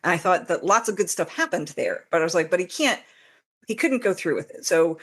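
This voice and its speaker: A woman's voice: lowish voice, animated, a little fast, fairly bright tone, husky. A woman talking casually as an interview guest about her own writing. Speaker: podcast guest, fanfiction author.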